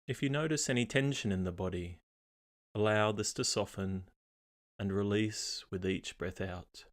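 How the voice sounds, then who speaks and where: A man reading a scripted guided meditation in a calm, unhurried, measured way, pausing between phrases. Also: fairly low pitch.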